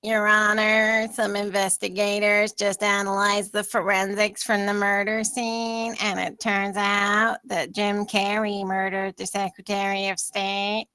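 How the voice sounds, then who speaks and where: A deadpan court officer, played by a woman in a comedy table read. The voice is bright, smooth and clear, with an even pitch and a dry, close sound. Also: measured pace, few pauses, no echo, low-quality recording. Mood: matter-of-fact. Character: matter-of-fact court officer reporting absurd findings.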